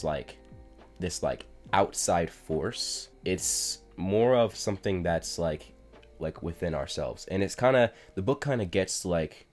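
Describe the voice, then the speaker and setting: A man with a very low voice talks straight to his viewers in a laid-back explainer vlog. His voice is stuffed up and congested from a cold. He speaks conversationally and fills his sentences with "like" and "you know.". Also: a little mellow. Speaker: self-improvement YouTuber.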